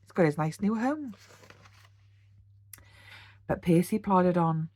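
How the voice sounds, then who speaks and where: A British woman, a teacher, reading a children's storybook aloud in an animated bedtime-story style. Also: lowish voice, slow pace, no room sound. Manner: animated, with gasps and sound words.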